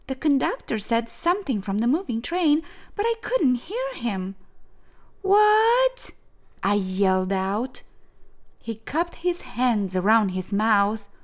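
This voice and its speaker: A woman reading a children's storybook aloud in an expressive, deliberate way with dramatic pauses. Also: a little high. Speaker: storyteller, host of a read-aloud show.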